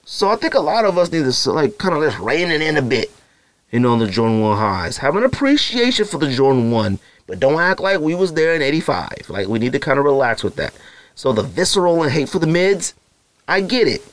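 A man's voice: fairly high pitch, very animated, fairly quick, no room sound, poor audio. A married man hosting his own podcast alone, talking casually and rambling, with many fillers, his voice jumping up when he makes a point. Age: adult, married. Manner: rambling and conversational, many "you know" fillers, voice jumps up when making a point. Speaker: podcast host. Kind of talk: casual solo podcast talk.

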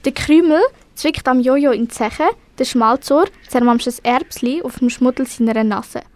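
A woman's voice: high-pitched, normal speed, a little mellow, dry recording. A teenage girl with a Swiss accent, narrating a scripted children's story. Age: teens. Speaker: storyteller in a school radio play.

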